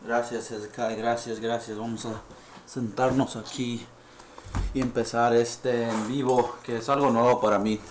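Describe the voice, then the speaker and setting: A man from California who grew up speaking English, presenting a live-stream dental lesson in halting Spanish that he apologizes for, mixing in English words. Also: mid-range voice, flat delivery, slight background noise. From United States (California). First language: English (heritage Spanish speaker). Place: dental lab. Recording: echoey lab room, faint ambience.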